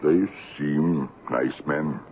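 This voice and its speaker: An actor playing a courteous, patient, quietly ominous African trading-post assistant in a radio drama, speaking measured, broken English. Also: male voice, very deep voice, fairly even intonation, exaggerated voice. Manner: measured, deferential, foreign-sounding broken English. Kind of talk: 1970s radio drama acting. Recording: muffled, noisy 1970s AM radio recording.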